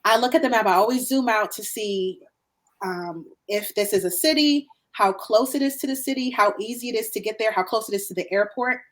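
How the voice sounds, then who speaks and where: Woman guest chatting on a podcast, lively, laughs a bunch, repeats stuff for emphasis. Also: on the high side, brisk. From Guyana. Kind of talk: casual conversation.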